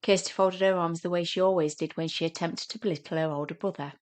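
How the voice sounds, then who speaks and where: Lower-pitched woman narrating a short story. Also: steady tone, fast talker.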